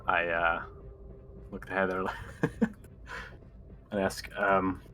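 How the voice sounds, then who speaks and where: A man's voice: low voice, level tone, slow pace, pushed and bright, slight background noise. A man chatting casually as a player in a tabletop roleplaying game.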